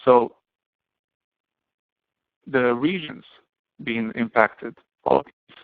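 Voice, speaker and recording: Thin telephone-grade audio, dry room, male instructor, halting explanatory lecture, question-and-answer phrasing. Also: mid-range voice, vocal fry, no echo. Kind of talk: explanatory online lecture to students. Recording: muffled, band-limited, phone-like audio.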